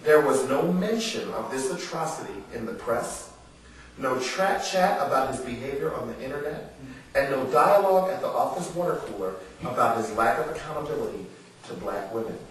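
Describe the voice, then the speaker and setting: A man's voice: mid-range voice, expressive, normal speed. An American man, an author, giving an earnest, emphatic public book reading mixed with informal remarks to his audience. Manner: earnest, emphatic, rhetorical questions. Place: indoor literary salon before an audience. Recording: roomy echoing live venue, distant mic, faint noise.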